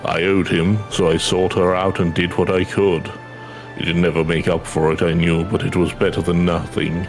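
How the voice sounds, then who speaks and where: A man's voice: sits low, level tone, normal speed, music in the background. A man voicing a weary, earnest former soldier from a fantasy game, plain-spoken and subdued. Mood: resigned, confessional. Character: weary, earnest male ex-soldier in hiding, resigned. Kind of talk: video game dialogue read aloud.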